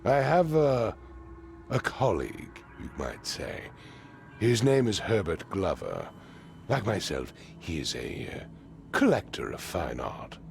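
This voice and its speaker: Pompous rich boss man for a video game, deep voice that swoops around, very formal, commanding. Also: wildly swooping pitch, vocal fry, slight background noise, exaggerated voice. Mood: guarded, haughty. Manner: formal, pompous, euphemistic, deliberate phrasing. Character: haughty, commanding patrician man, grieving and secretive. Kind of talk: video game cutscene acting.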